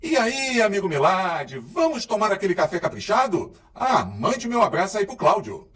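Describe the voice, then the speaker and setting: A man hosting a talk show about cars, introducing guests and sponsors in an enthusiastic, energetic announcer delivery. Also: high-pitched, moderate pace, distant mic. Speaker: show host. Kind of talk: lively program host intro.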